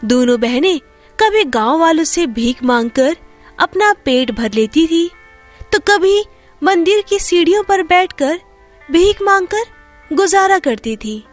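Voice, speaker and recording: A woman narrates a children's story in a very high voice. She takes her time with the scripted lines, over faint music. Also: animated, unhurried.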